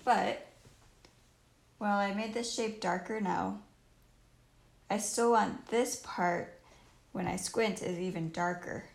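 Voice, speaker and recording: Croaky woman teaching painting, slow and roomy. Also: mid-range voice, fairly even intonation. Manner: thinks aloud in short phrases while painting. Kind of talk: casual live painting tutorial. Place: indoors at home, live stream while painting. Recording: roomy live stream with faint noise and clicks.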